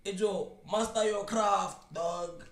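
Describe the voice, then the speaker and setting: A man's voice: high-pitched, steady tone, slow pace, creaky, fairly bright tone, soft background music. A South African man commenting casually, rambling and repeating words while mixing in local slang. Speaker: reaction streamer. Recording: echoey room, far from mic.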